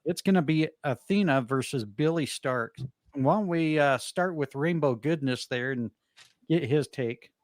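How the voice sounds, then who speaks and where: A man's voice: on the high side, unhurried, no echo. A man hosting a casual wrestling podcast, easygoing and rambling, with lots of fillers and self-deprecating asides. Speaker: podcast host.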